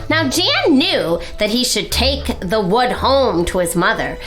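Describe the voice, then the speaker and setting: Animated, high-voiced woman storyteller. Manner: dramatic, deliberate storytelling. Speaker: children's library storyteller. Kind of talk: expressive storybook narration.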